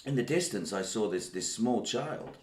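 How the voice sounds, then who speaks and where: A man's voice: fairly low pitch, expressive, moderate pace, slight background noise. A Greek-born man, hosting a live stream and spinning a rambling, jokey tall tale. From Greece. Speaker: stream host. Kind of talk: comedic live stream chat. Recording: roomy echo.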